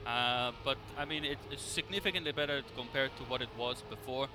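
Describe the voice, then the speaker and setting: A man giving live, conversational esports commentary in a halting, rambling way as he follows the play. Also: medium pitch. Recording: clear background noise.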